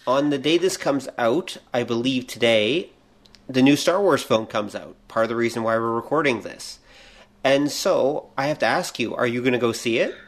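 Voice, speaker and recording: A middle-aged man hosting a casual pop-culture podcast, explaining things in a measured, lightly joking way. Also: mid-range voice. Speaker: podcast host.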